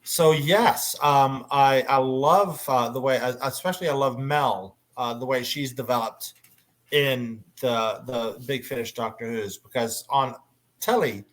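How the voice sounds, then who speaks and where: A man hosting a casual convention panel, talking in an enthusiastic, halting way. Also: mid-range voice. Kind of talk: casual panel discussion about audio dramas.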